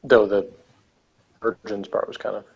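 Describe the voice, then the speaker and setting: A man's voice: fairly low pitch, fairly even intonation, normal speed, gravelly, breathy edge. Hesitant man in dull lo-fi audio. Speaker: podcast co-host reviewing television. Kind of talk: casual review chat.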